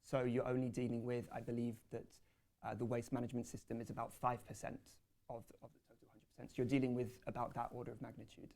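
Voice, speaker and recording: A man's voice: medium pitch, flat delivery, fast, darkish tone. Hesitant man answering panel questions. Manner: hesitant, many fillers and restarts. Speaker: researcher presenting a study.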